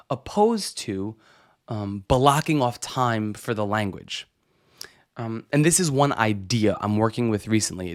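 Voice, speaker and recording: Chatty man hosting a podcast. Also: medium pitch. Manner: chatty, frequent "right?" tags and restarts. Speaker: podcast host. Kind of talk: casual solo podcast monologue.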